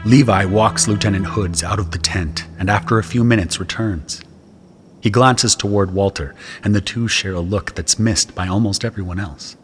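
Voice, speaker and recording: Very deep-voiced male drama narrator. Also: normal speed, faint music behind. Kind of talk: scripted first-person audio drama narration.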